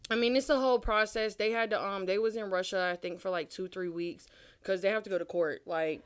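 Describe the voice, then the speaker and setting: A young woman guest telling her life story in a relaxed, casual way, swearing now and then. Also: medium pitch, brisk, smooth. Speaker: podcast guest. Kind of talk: casual chat interview.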